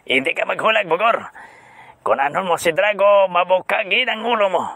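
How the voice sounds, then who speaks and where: A man's voice: sits high, moderate pace, gravelly, sharp tone, exaggerated voice. A man with a Western Visayan Filipino accent voicing an agitated, bullying male henchman in an audio drama, insistent and repetitive. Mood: threatening. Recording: echoey room sound.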